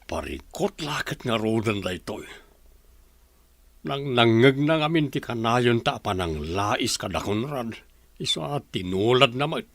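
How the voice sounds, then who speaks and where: A man's voice: medium pitch, lively intonation, measured pace, gravelly, fairly bright tone, no room sound, full character voice. A man playing a plain-spoken village man in a radio drama. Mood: troubled.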